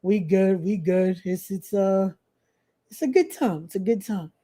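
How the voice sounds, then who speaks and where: A young adult podcast host talks in a loose, casual live-stream style at a middling pitch. Phrases get repeated, and promotional announcements are mixed with slangy, informal asides. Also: a little slow, dark tone.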